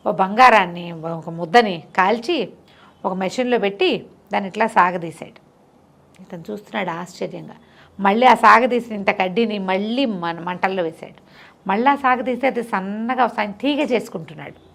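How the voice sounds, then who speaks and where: A woman's voice: medium pitch, moderate pace, gravelly, a bit bright. A middle-aged woman tells a moral story conversationally, repeating phrases for emphasis and explaining the lesson simply.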